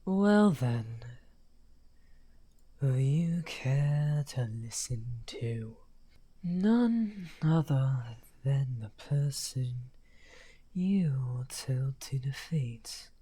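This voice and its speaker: Man acting a very slow, husky villainous wizard. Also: mid-range voice, animated, mellow, full character voice. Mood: intrigued, coy. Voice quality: breathy, husky, often half-whispered. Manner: unhurried, taunting, drawn-out phrasing. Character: misunderstood outcast necromancer wizard villain, smugly amused. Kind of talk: slow theatrical ASMR roleplay.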